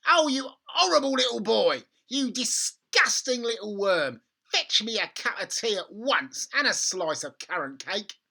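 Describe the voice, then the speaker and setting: A storybook narrator voicing a nasty, grumpy, bossy old grandma who yells, scolds and cackles. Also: medium pitch, lively intonation, a little slow, gravelly, pitched above the performer's own voice, full character voice. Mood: furious scolding.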